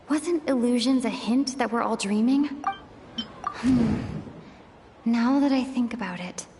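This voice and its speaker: A woman streamer reading and musing aloud in a thoughtful way during a game livestream. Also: fairly high pitch. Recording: noisy, long reverb.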